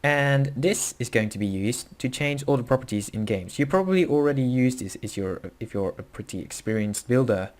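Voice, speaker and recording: A man's voice: medium pitch, lively intonation, normal speed, few pauses. A young man with a Dutch accent, speaking English as a second language, walking through a screen-recorded coding tutorial in a casual, hesitant way with many fillers and self-corrections. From Netherlands. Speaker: tutorial host.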